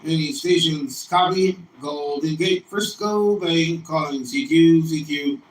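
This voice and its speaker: A man operating an amateur radio, switching between drawn-out calls and friendly casual on-air chat. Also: a little high. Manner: friendly, drawn-out repeated call phrases. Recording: noisy, echoey, distant radio room with static. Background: radio noise and clicks.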